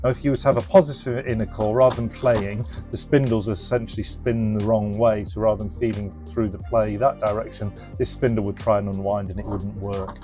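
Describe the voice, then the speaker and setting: A man's voice: on the low side, flat delivery, a little fast, hardly any pauses, over music. A man casually presenting a hands-on product demonstration, explaining informally and thinking aloud as he goes. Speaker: presenter and reseller of restored retro electronics.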